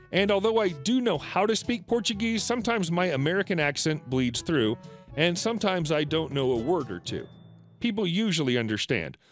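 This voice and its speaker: Lively male story narrator. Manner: lively, animated reading with shifting pitch for quoted customers. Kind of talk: reading reddit stories aloud.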